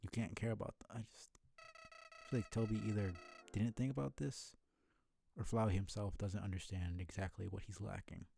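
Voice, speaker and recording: Low-voiced, gravelly male game streamer. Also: fairly even intonation, moderate pace, mellow, slight background noise. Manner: argumentative, sardonic analysis of the game. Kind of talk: casual live commentary on a video game. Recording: game music behind the voice.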